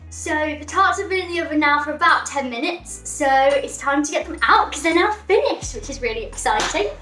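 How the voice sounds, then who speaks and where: A woman's voice: high-pitched, animated, fairly quick, sharp tone, recorded from a distance, faint music behind. A woman giving an informal cooking demonstration in an echoey room, away from the microphone. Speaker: cooking show presenter.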